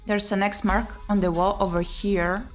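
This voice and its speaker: Girl streamer reading visual novel lines out loud, expressive, mutters reactions, mid pitch, game music behind her. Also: normal speed. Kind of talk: let's play commentary, reading game text aloud.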